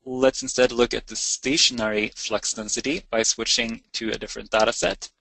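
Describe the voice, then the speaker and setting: A man presenting a technical software tutorial in a measured, explanatory way, as in a semi-improvised webinar walkthrough. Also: medium pitch, gravelly, dry recording, low-quality recording.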